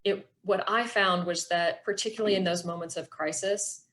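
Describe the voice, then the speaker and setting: A middle-aged woman presenting a workshop with personal reflection, explaining things in an earnest, measured way. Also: on the low side, fairly even intonation, a bit bright.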